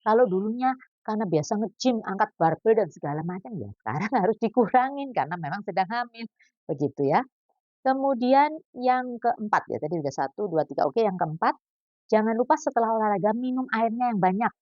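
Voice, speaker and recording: A woman's voice: medium pitch, fairly quick, dry recording. A woman in her fifties, a doctor, giving pregnancy exercise advice in a chatty, joking way. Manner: chatty, jokey, lively explaining with asides. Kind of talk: casual health talk. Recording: dull lo-fi.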